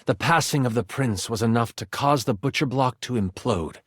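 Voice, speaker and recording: A man playing an excitable game master in a video game, narrating a fantasy adventure with theatrical, suspenseful expression. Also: medium pitch, normal speed, breathy edge, no room sound, studio quality, close to an everyday voice. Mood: somber, hushed. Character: personified twenty-sided die, an excitable male game master. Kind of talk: dramatic tabletop fantasy narration.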